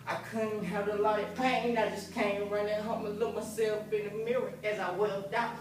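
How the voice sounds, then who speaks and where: A man's voice: high voice, level tone, normal speed, slight background noise. A young man performing his own rap with a sung hook at a graduation ceremony. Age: young adult. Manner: rhythmic rapping with sung hook. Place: large hall at a graduation ceremony. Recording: echoey hall from far off.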